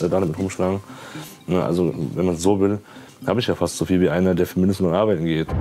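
Deep, mellow young man. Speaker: documentary participant. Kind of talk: casual interview talk.

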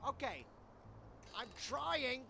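A man plays a whiny, nervous cartoon animal scavenger. His high voice swings widely, full of hesitation and exasperated swearing. There is clear background noise behind him. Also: sing-song, extremely slow, bright, forceful tone, full character voice. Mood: panicked. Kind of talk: cartoon game voice acting.

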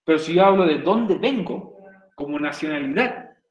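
A man's voice: a little high, expressive, moderate pace, reverberant, lo-fi sound. A man from Chile teaching an English class online in Chilean Spanish, chatty and jokey, often checking in with his students. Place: home room on a video call.

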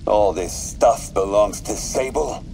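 An actor playing a menacing, calculating crime boss with a gravelly voice in a video game. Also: male voice, on the low side, slow pace, dark tone, faint music behind. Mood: scheming.

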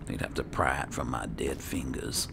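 A man's voice: extremely low pitch, steady tone, normal speed, vocal fry, noisy background. A man doing in-character roleplay commentary on a game, muttering to himself in short phrases. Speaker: gaming video host playing in character.

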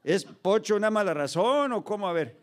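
Un pastor de unos cincuenta años, nacido en Los Ángeles, con acento mexicano-estadounidense, predica con humor en un escenario, con micrófono de pie, y cuenta historias imitando diálogos y mezclando frases en inglés. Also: male voice, high-pitched, expressive, moderate pace. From Los Angeles, United States. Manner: storytelling with acted dialogue, mixing English phrases. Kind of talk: humorous sermon to a children's ministry. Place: stage in a large indoor hall. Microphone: stand microphone.

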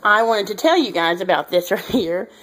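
A woman's voice: mid-range voice, lively intonation, normal speed, fairly bright tone, rough recording. A woman in her thirties chats casually and ramblingly to her viewers as a home cooking vlogger, recorded indoors on a handheld device. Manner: chatty, rambling, addressing viewers informally. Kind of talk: casual vlog chat to viewers. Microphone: handheld camera or phone at arm's length.

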